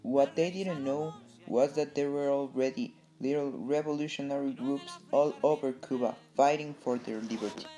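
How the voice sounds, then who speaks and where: Mellow guy w a reading history narration, guitar music behind him. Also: mid-range voice, rough recording. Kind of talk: scripted narration of a student-style history newsreel. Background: guitar music under the voice.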